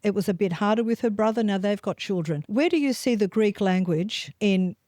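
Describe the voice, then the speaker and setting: An older woman hosts in a mid-pitched voice. She asks earnest, personal questions and slows down on the words she wants to stress. The recording is dry and close. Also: warm tone, no room sound. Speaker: host. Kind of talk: interview questions.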